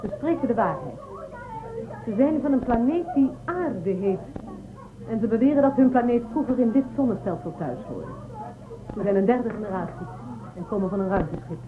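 A woman's voice: a little high, expressive, crowd noise, close to an everyday voice. Actress as a condescending space empire woman official, really varied intonation, thin phone-like radio play sound. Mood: insistent. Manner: condescending, measured interrogator. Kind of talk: Dutch radio drama acting. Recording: thin band-limited, noisy old radio recording.

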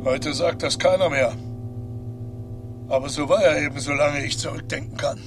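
Actor as reverberant, menacing inner voice. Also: male voice, fairly high pitch, close to an everyday voice. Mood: brooding. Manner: slow, terse interjections. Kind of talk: radio play. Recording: long reverb, music behind.

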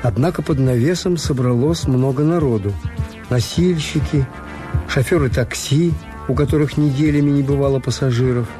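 An actor playing a world-weary hotel owner, narrating in a measured, literary way in a Soviet radio drama. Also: male voice, mid-range voice, warm tone, lightly acted. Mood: reflective. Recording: music bed, Soviet radio production.

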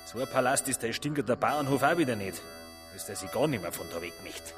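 A man plays a jeering ex-convict in a radio drama, coarse and menacing, with a Bavarian accent. His lowish voice swings widely in pitch as he taunts with mock politeness. It rings in a long reverb over music. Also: expressive, brisk, close to an everyday voice. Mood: jeering, impatient.

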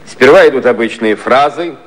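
A man acting a smoothly insistent American ambassador, formal and pointed, in a 1950s satirical radio play. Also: medium pitch, moderate pace, close to an everyday voice.

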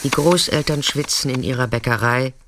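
An actress playing a detached narrator in a literary radio play, measured and incantatory with repeated phrases. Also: female voice, rumbling low, noisy background. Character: detached narrator telling a girl's biography in terse refrains.

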